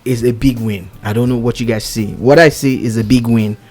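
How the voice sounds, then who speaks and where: A man's voice: medium pitch, fairly even intonation, brisk, darkish tone, faint music behind. A male radio host interviewing two contest finalists, encouraging them and laughing along.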